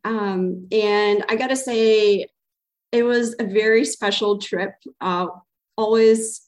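A woman answers interview questions as a podcast guest. She speaks in a measured way with occasional hesitations. Her voice sits at an average pitch for a woman. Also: fairly even intonation. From Ukraine. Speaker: guest, club co-president.

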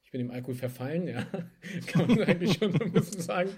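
A lively young man from Germany talks about his background as a guest in an interview, in a casual, chatty way. His voice sits a little higher than most men's, and he laughs now and then while he tells his stories. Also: quick pace. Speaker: guest, spirits entrepreneur.

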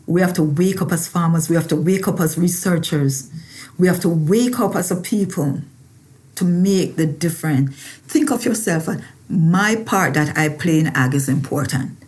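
Jamaican lady farmer, low voice, interview style, repeats stuff to drive it home. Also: moderate pace, darkish tone. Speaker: farmer and agricultural researcher. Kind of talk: personal interview talk.

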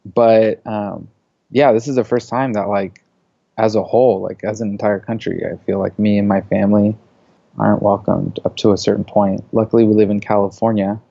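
A man in his thirties, raised in the US, answers interview questions in an easygoing, rambling way, with frequent fillers. His voice sits a bit low. The recording is dry and slightly dull, as from a small treated studio. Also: fairly even intonation, moderate pace, gravelly, warm tone, no echo. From born in Saudi Arabia, raised in the US. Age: 30s. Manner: loose, filler-heavy talk with many "you know"s and "like"s. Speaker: interview guest, media creative. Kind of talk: casual conversational interview.

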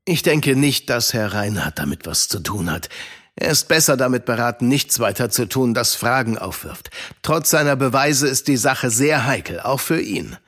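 A man's voice: medium pitch, animated, normal speed, a bit bright. A man playing a guarded uncle who mentors children, in a children's audio drama. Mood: calm, considered.

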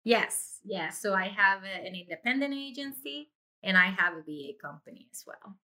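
A woman's voice: medium pitch, lively intonation, normal speed, sharp tone. A middle-aged woman, talking expressively and effusively as an interview guest about her own experience. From Puerto Rico. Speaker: interview guest, insurance agency owner. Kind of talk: conversational interview, personal career story.

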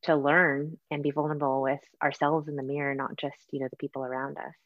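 Low-pitched American woman in an echoey room. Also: little pitch movement, fast. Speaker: podcast guest. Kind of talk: conversational, personal storytelling. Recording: echoey room, dull lo-fi sound.

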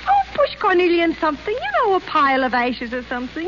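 A woman's voice: very high voice, wildly swooping pitch, fast talker, exaggerated voice. An actress playing a giddy, naive young society woman who rambles breezily, in 1930s screwball radio style. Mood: giddy, amused. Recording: dull, hissy 1930s radio recording.

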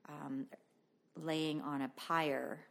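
Low, creaky-voiced woman, reflective. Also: flat delivery, drawn-out words, a bit bright. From Canada. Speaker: interview guest, visual artist. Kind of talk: casual reflective interview about own work.

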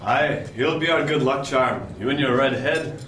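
A man's voice: mid-range voice, moderate pace, distant mic, lightly acted. A man playing a jovial, superstitious older sailor in a seafaring radio drama, talking with colloquial yarn-spinning swagger. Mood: gruffly teasing. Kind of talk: period radio drama acting. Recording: roomy, off-mic, noisy ship ambience.

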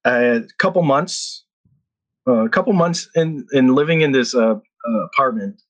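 A man's voice: medium pitch, lively intonation, measured pace. A man in his thirties from the Philippines, telling stories in a casual chat with frequent restarts. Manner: rambling storyteller with frequent restarts and laughs. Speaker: podcast guest.

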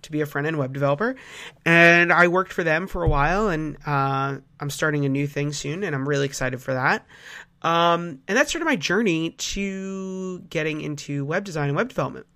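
A man's voice: fairly high pitch, a bit bright. A young man hosting a casual solo podcast, rambling with many fillers and restarts, sounding nasal from a cold. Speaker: podcast host.

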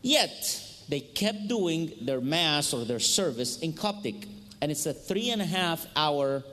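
A man's voice: on the high side, expressive, measured pace, sharp tone. A man from Egypt, a native Arabic speaker with an Egyptian accent, giving an earnest, storytelling seminar talk into a microphone in a hall. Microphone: handheld or stand microphone.

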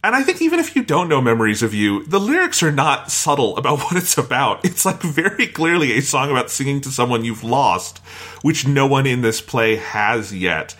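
Bright-voiced man hosting a casual podcast. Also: medium pitch, big pitch swings, moderate pace. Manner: informal, swears casually. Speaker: podcast host.

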